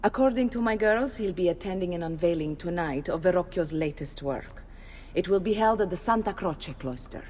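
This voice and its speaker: An actress playing a composed courtesan mentor who gives measured advice. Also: female voice, lowish voice. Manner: calm, measured, advising. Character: composed female courtesan mentor, worldly and calm.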